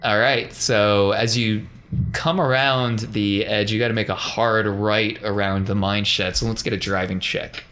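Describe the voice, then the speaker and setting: A man's voice: fairly low pitch, steady tone, a little slow, fairly bright tone. A man narrating a tabletop game as game master in a casual, improvised way.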